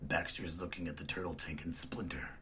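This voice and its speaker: A man's voice: extremely low pitch, steady tone, brisk, noisy background. A man reading scripted narration that describes comic panels.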